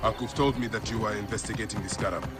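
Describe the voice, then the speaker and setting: An actor playing a stoic, weary, protective warrior in a video game, speaking in terse, measured phrases. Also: male voice, on the low side, steady tone, close to an everyday voice. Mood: calm resolve. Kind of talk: dramatic video game acting. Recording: music behind the voice.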